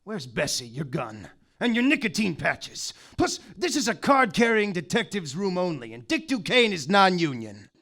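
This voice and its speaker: A man acting a laid-back island detective in a live stage comedy, mumbling noir lines that drop to low murmurs. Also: very animated, moderate pace, pushed and bright. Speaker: performer in a live comedy play, as a detective from Maui.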